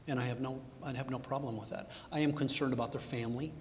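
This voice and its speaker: A middle-aged man, a bank executive, talking candidly on a panel, halting with many restarts and fillers. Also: steady tone. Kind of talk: candid personal reflection on a panel. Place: event hall. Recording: echoey hall, faint rumble.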